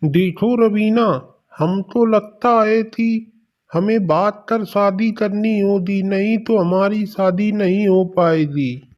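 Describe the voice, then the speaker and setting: Man acting a high-voiced, earnest young village lover, muffled. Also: slow. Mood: worried, urging. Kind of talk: animated bedtime story acting. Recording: dull, lo-fi sound.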